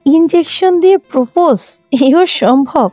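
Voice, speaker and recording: A woman's voice: sits high, animated, measured pace, dark tone, no room sound, soft background music. A woman playing a teasing, outspoken young best friend in a romantic audio story. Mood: amused, warning. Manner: teasing, blunt.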